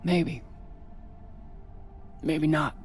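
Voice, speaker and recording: A man's voice: a little high, steady tone, extremely slow, faint music behind. A man playing a haunted, hardened space pilot in a video game, terse, wry and profane. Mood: guarded, resolute. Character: hardened, haunted, foul-mouthed space pilot grieving a husband. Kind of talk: dramatic video game dialogue.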